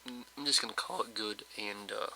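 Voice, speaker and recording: Male gameplay host, slightly hoarse, bright tense tone, monotone, rambling and hesitant delivery. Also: fairly low pitch, normal speed, a little hiss or hum, poor audio. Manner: rambling, hesitant, full of fillers. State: slightly hoarse, just woke up. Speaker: let's play host. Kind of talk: casual gameplay commentary.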